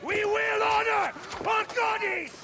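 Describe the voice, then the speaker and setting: An actor playing a jovial, bawdy ship captain in a video game cutscene, hearty and teasing. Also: male voice, very high voice, steady tone, slow pace, vocal fry, heavy background noise, full character voice. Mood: rousing, defiant. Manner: hearty, teasing, laughing, shouting orders.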